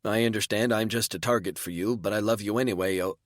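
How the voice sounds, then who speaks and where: A man voicing a gentle young woman who is dying, speaking softly and pleadingly. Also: on the low side, fast talker, no room sound, studio quality, lightly acted. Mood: wistful.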